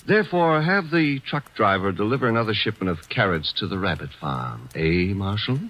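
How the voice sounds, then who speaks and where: A smooth low radio gangster boss, dry and sly. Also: male voice, expressive, normal speed, fairly bright tone, a little hiss or hum. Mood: silky. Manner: smooth, urbane, sardonic. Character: suave scheming male crime boss. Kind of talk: 1940s radio acting.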